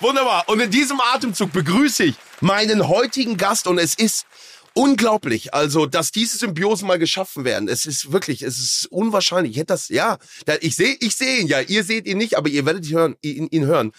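A man's voice: high voice, no echo. A man hosts a podcast with a bouncy, sing-song voice that climbs and dips a lot and sits a little above the usual male range. He jokes and announces theatrically. The studio sound is close and dry. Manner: excitable, theatrical, quick to joke. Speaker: podcast host. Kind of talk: playful podcast interview.

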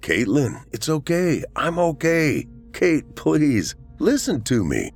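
A man voicing a gentle, pleading husband on both sides of death in an audiobook. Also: a little high, sing-song, slow pace, faint music behind, pitched above the performer's own voice, exaggerated voice. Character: dying husband turned ghost, gentle and pleading. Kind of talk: audiobook character voice.

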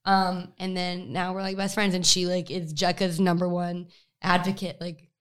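A woman's voice: fairly low pitch, little pitch movement, normal speed, fairly bright tone. A young woman co-hosting a podcast, telling stories in a giggly, playful, casual way. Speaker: podcast co-host. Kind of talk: casual chat.

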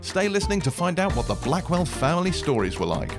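A man's voice: a little high, big pitch swings, brisk, music in the background. A man reading a scripted promo for the show in an upbeat announcer delivery. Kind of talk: scripted promo read over music.

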